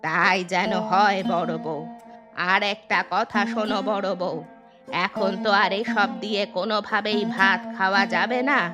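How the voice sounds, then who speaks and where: A woman playing a stern older mother-in-law in a cartoon, speaking in a commanding, deliberate way. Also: mid-range voice, steady tone, natural voice. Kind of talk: Bengali moral-tale cartoon acting. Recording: music under the voice.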